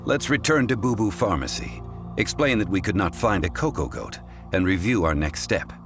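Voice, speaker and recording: An actor playing a composed, courteous, scholarly gentleman in a fantasy video game, speaking in a formal, measured, erudite way. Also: on the low side, lively intonation, over music. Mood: stern, composed. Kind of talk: video game character dialogue.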